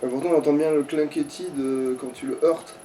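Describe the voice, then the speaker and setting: Guy playing a game, talks really fast, sweary grumbling, bit far from the mic. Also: on the high side, fairly even intonation, dark tone, slight background noise. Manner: sweary, grumbling, thinking aloud. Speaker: let's play player. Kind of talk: casual gaming chat while playing.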